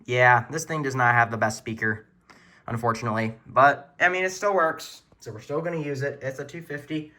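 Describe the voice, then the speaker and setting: A man's voice: medium pitch, brisk, bright, forceful tone. A man hosting a casual show-and-tell in his room at home, talking in an informal, earnest hobbyist way. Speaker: video host showing a weather radio collection.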